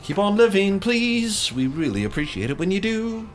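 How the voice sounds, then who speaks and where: A man's voice: high voice, sing-song, moderate pace. A man playing a tabletop game, talking casually through his turn at the table. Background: music.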